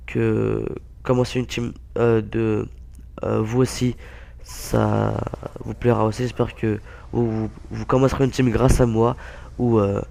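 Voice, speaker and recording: A young man with a hoarse, blocked-nose voice from a cold, giving halting, casual gameplay commentary full of fillers. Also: medium pitch, dark tone. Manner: halting, many fillers and restarts. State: hoarse, stuffy-nosed with a cold. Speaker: gaming YouTuber.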